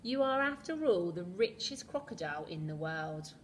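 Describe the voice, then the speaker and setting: A wise, patient male butler from a picture book, speaking in a slow, measured way as he gently explains things, voiced by a woman reading aloud. Her own even tone carries him, close to her plain reading voice. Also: fairly low pitch, slight background noise, natural voice. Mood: calm.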